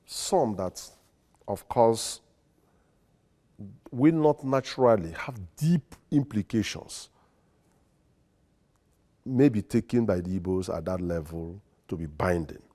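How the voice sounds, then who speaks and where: Nigerian guy, lawyer type, deep and mellow, slow careful formal answers. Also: lively intonation. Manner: formal, deliberate, emphatic. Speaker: guest, lawyer and secretary general. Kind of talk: studio interview. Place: TV studio.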